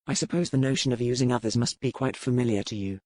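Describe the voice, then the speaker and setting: A man voicing a stern, cold but courteous pirate captain with a formal, measured delivery in a dramatized audiobook. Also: medium pitch, a little mellow, no room sound, clean studio sound, close to an everyday voice. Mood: reproachful, resolute.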